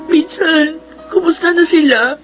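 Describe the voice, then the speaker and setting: A woman's voice: very high voice, unhurried, highs cut off, faint music behind. An actress playing a remorseful, grieving young woman in a Filipino radio drama, with an anguished, pleading delivery. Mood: tearful regret.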